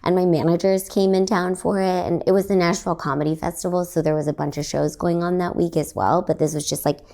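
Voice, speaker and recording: Gravelly low-voiced woman podcaster. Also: steady tone, fast talker, warm tone. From Texas. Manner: rambling, lots of "like" fillers. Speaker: comedian and podcast host. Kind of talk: casual solo podcast storytelling.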